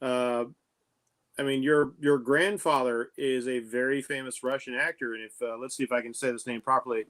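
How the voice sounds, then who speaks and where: A man's voice: mid-range voice, fairly even intonation, normal speed, fairly bright tone. A man co-hosting an interview podcast, asking friendly, rambling questions. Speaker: podcast co-host. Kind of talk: casual interview chat.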